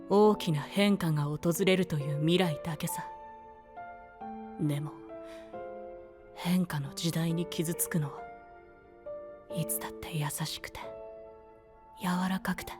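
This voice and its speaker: A man voicing a frail, gentle young male knight who speaks softly and half in whispers, over background music. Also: a little high, fairly even intonation, slow pace, long pauses. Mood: wistful. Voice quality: soft, breathy, often whispered. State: weak and tired. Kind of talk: anime voice drama acting.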